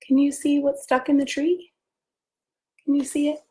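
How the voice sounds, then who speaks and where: An American woman, a pastor, reading a children's story aloud with gentle, simple asides and questions for young listeners. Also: high-pitched, level tone, normal speed. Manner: gentle, simple questions addressed to small children, often hushed.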